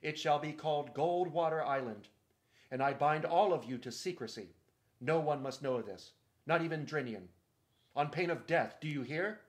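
A proud young king claims his prize and demands obedience, played by a man reading the book. He speaks in a regal, declaiming way, louder than the narration. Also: medium pitch, very animated, a little fast, lightly acted. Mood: imperious. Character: proud young king, grand and hot-tempered.

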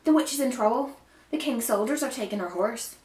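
A woman's voice: fairly high pitch, animated, a little fast, recorded from a distance, pitched above the performer's own voice, natural voice. A woman voicing an urgent, loyal village girl from a storybook, calling out and pleading for help. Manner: urgent, shouting. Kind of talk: dramatic storybook read-aloud. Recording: roomy echo, some background noise.

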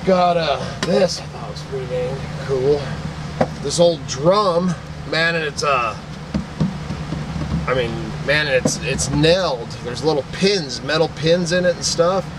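A man's voice: a little high, takes it slowly. Sentimental rambling man, noisy shop. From Idaho. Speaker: vlogger, antique picker. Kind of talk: casual chat to camera. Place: cluttered indoor shop.

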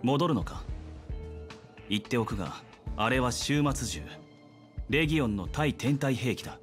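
An actor playing a stoic, serious young guard in a Japanese anime game, cool and matter-of-fact. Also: male voice, mid-range voice, lively intonation, unhurried, soft background music, natural voice.

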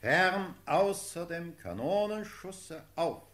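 An actor playing a formal field marshal in a classical radio drama, dictating battle orders in a ceremonious, declamatory way. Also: male voice, on the high side, animated, slow pace, fairly bright tone. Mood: commanding.

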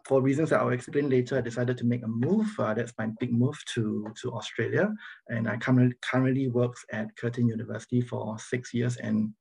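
Singaporean man with a gravelly, husky voice. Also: medium pitch, steady tone, brisk, a little mellow, rough recording. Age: middle-aged. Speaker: university professor, guest speaker. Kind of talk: informal academic presentation to an online audience. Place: online video meeting, speaking over slides. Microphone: video call microphone.

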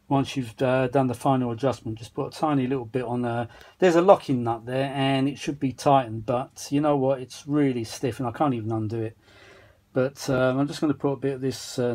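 A British man giving casual, rambling, self-mocking commentary as he talks to himself during a repair at an indoor workbench. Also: mid-range voice. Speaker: vintage audio repair hobbyist and video maker. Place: indoor workshop bench. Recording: steady machine noise behind voice.

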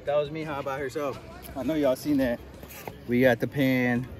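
Excitable American man vlogging outdoors. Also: mid-range voice, moderate pace. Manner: informal, excitable, addresses viewers directly. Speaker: fishing vlogger. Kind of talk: casual excited vlog commentary. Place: outdoors by a lake and park. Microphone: handheld phone.

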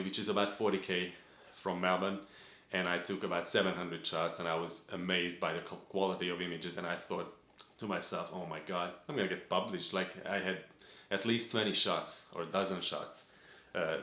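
Low-voiced man, roomy sound. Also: a bit bright. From Hungary. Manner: earnest, measured, with frequent "uh" fillers. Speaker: photography vlogger. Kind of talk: talking-head channel introduction. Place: home office room with desk and gaming chair.

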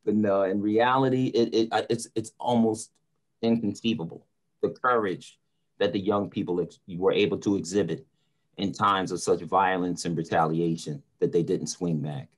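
A man's voice: sits low, fairly even intonation, normal speed, low-quality recording. A middle-aged man from North talks. He is earnest and emphatic, like the preacher he is, and repeats words as he makes his point on a video call. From North Philadelphia, United States. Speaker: preacher and motivational speaker. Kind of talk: casual discussion in an online panel. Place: small room at home or office, indoors. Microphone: video call computer mic.

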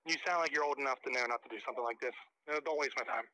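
A man's voice: medium pitch, very fast, sharp tone, a little hiss or hum. A man talking matter-of-factly as a store clerk on the phone, heard through a speakerphone. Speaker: video game store clerk. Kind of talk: customer service phone talk. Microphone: telephone on speaker.

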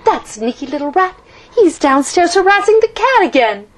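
A woman doing a timid young boy ghost, pitched high. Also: expressive, moderate pace, a crowd in the background. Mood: anxious. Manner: eager, pleading, apologetic.

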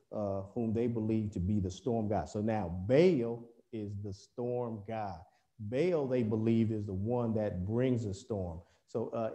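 A man gives a Bible lesson to a remote congregation over a video call, his voice low-pitched and very dark and mellow. He talks in a halting way, full of fillers, restarts and corrections as he works through the passage. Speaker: preacher.

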